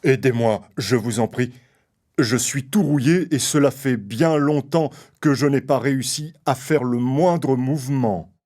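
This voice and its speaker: A man's voice: mid-range voice, unhurried, a little mellow, lightly acted. A man voicing a helpless, rusted tin man in a children's cartoon story. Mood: pleading. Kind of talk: children's audio story acting.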